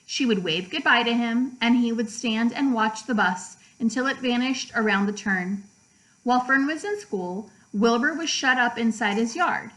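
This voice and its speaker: A woman narrating a children's book aloud like a teacher, with measured, deliberate phrasing for young listeners. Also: fairly high pitch, a bit bright, poor audio. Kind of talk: storybook read-aloud narration.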